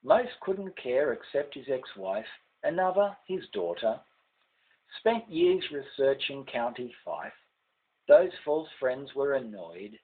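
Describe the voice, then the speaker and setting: A man's voice: medium pitch, very animated, slow pace, husky, narrow, tinny audio. An older man with an Australian accent reciting rhymed ballad verse with theatrical, emphatic phrasing. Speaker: amateur poet reading own verse.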